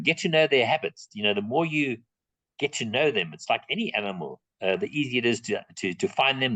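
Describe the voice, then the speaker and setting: A man's voice: mid-range voice, fairly bright tone, dry recording, low-quality recording. Plain-spoken South African man, dry sound. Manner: plain-spoken, emphatic, repeats key points. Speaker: guest speaker on reptiles. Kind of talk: answering audience questions.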